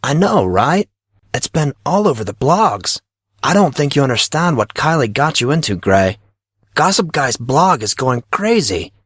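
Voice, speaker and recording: A teasing, self-assured teenage lad acted by a male reader for a storybook, lifted a few semitones above a grown man's pitch. He talks in casual slang with a knowing air, recorded very dry. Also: big pitch swings, a little slow, fairly bright tone, breathy edge, no room sound, exaggerated voice. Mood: gossipy, sympathetic. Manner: casual, knowing, uses dude and bro. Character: smug, slangy teenage boy, teasing best friend.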